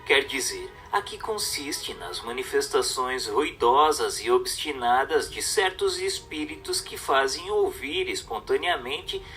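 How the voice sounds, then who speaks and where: A man reads a book as a scripted narration, in a measured, didactic way. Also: mid-range voice, sing-song, sharp tone, rough recording. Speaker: audiobook narrator. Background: music.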